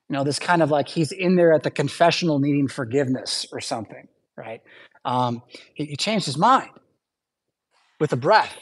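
A male pastor giving a conversational sermon in a church, often checking in with "right?" and adding quick asides. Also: high voice.

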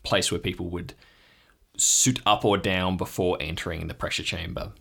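A man's voice: deep voice, steady tone, normal speed, gravelly, a bit bright. A man improvising narration as game master of an audio drama, setting scenes descriptively in the second person. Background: background music.

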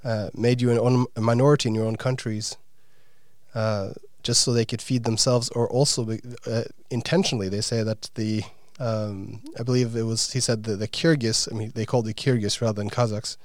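A man interviewing a guest, speaking earnestly with frequent pauses and fillers. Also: on the low side, little pitch movement, a little mellow, dry recording, clean studio sound. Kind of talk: conversational interview.

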